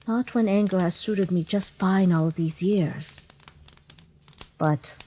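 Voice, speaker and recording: Woman acting regal motherly monster, slightly low voice, solemn, gentle, quietly wounded, formal phrasing, dramatic comic dub. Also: rough recording. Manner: formal, measured, solemn, tender.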